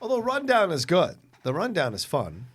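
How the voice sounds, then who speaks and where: A man's voice: high-pitched, wildly swooping pitch, moderate pace, fairly bright tone, slightly rough. A man co-hosting a casual film podcast, talking in an informal, slangy and sometimes sweary way. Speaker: podcast co-host reviewing films.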